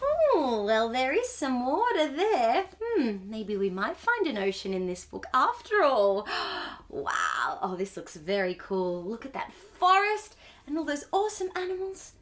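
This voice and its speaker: A woman hosting a storytime, reading a picture book aloud to young children in an expressive, wonder-filled way. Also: high-pitched, a little slow. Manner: wide-eyed wonder, exclamations and gasps between passages.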